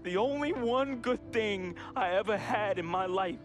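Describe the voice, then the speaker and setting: A man's voice: high-pitched, measured pace, music in the background. An actor playing a troubled young man in a game, reading a confessional farewell letter as a heartfelt voice-over with casual slang. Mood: somber. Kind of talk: emotional voice-over monologue. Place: studio voice-over.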